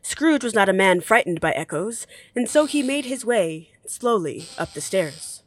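Theatrical storyteller for an audio play, wry n deliberate, mid voice, bit of noise behind. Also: female voice, medium pitch, sing-song. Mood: wry, suspenseful. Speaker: narrator.

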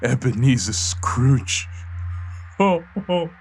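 Frightened old male miser, slow pace, grave, halting, pleading, audio drama, light music underneath. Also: medium pitch, animated, slightly rough. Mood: anguished.

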